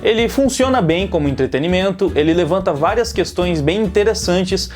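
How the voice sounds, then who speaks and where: A Brazilian Portuguese-speaking man with a fairly high voice gives casual review commentary. He explains things informally and conversationally, like a channel presenter, over background music. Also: animated, a little fast, darkish tone.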